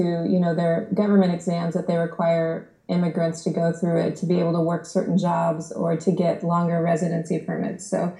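Woman, thirties, American, gravelly, self-deprecating, echoey room. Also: lowish voice, dark tone. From United States. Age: 30s. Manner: laughs often, self-deprecating. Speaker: podcast guest. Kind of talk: casual chat.

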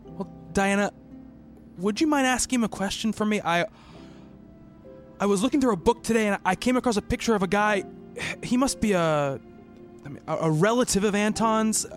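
Man acting a higher-voiced, thoughtful researcher in a mystery. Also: animated, moderate pace, soft background music. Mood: hesitant, concerned. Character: worried, rational male researcher narrating his own eerie mystery. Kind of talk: radio play dialogue and first-person narration.

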